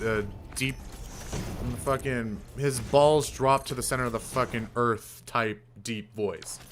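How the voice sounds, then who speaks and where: A young man streamer chatting casually, rambling with fillers and casual swearing, with a fairly deep voice. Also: a bit bright. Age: young adult. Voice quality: somewhat deep voice. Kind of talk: casual live-stream chat.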